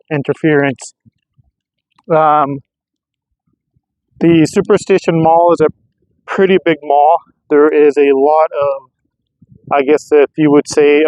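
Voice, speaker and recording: A man's voice: a little high, a little mellow, dry recording, poor audio. Casual American man vlogging, dry close sound. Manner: rambling, informal, thinking aloud. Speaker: vlogger testing radio gear. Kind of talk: casual unscripted vlog narration. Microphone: clip-on microphone.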